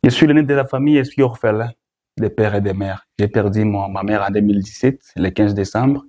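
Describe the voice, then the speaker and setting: A man's voice: fairly low pitch, brisk, darkish tone. A young man with a Congolese French accent answering interview questions, often repeating words and phrases. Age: young adult. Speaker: guest, gospel musician.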